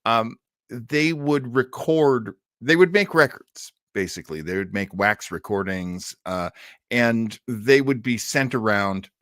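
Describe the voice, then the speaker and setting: A man's voice: medium pitch, animated, slow, fairly bright tone, no echo, studio quality. An older man hosting an old time radio show, chatting casually with live-stream listeners in a rambling, enthusiastic way.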